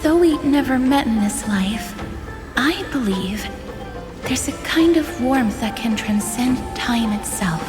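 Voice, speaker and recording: A woman playing a gentle, solemn young guardian in a video game, speaking softly, formally and earnestly. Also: on the high side, expressive. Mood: tender, solemn. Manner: soft, formal, unhurried, earnest. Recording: echoey reverberant hall, music behind. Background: music.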